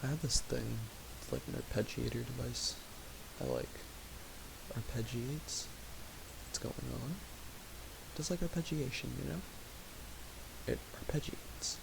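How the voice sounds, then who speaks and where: A young man talking quietly in a raspy voice, rambling with fillers as he thinks aloud while making music. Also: mid-range voice, expressive, measured pace, long pauses. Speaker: music producer streaming. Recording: home recording with audible hiss.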